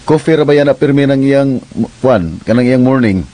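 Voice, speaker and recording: An actor playing a concerned, puzzled office boss in a radio drama, speaking conversationally with a Cebuano Filipino accent and some English. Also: male voice, medium pitch, moderate pace, dark tone, natural voice. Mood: polite, casual. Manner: earnest, conversational, code-switches into English.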